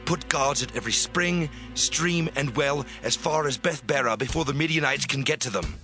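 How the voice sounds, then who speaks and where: A man's voice: medium pitch, normal speed, bright, forceful tone, soft background music, lightly acted. A male actor playing an earnest, urgent ancient soldier in a dramatized audio Bible, with declamatory delivery.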